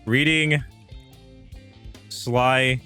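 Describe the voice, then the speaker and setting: A man's voice: medium pitch, steady tone, very slow, pushed and bright, smooth. Rambling male livestreamer over background music. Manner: rambling, repeats himself, addresses viewers directly. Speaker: livestream host. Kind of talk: casual live-stream chat.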